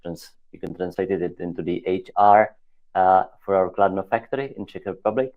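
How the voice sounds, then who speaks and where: A man introducing himself haltingly as a guest on a video call. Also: low voice. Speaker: guest, HR lead. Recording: thin, phone-like call audio.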